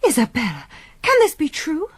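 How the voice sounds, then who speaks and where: A woman's voice: sits high, wildly swooping pitch, normal speed, dry recording. An actress playing a devout young noblewoman in a gothic audio drama, earnest, well-spoken and melodramatic. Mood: distressed.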